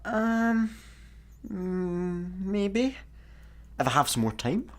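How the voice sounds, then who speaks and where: Hesitant guy with swooping pitch. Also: animated, very slow, full character voice. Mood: unsure. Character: hesitant, eager, dim amateur guitarist space fugitive. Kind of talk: sci-fi comedy radio acting.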